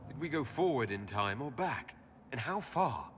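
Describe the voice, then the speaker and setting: An actor playing a firm, composed hero in a fantasy game, speaking directly and with assurance. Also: male voice, medium pitch, sing-song, normal speed, fairly bright tone, a little hiss or hum. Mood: concerned. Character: firm, composed male hero leading the Inquisition. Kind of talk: dramatic fantasy game acting. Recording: long reverb, faint music behind.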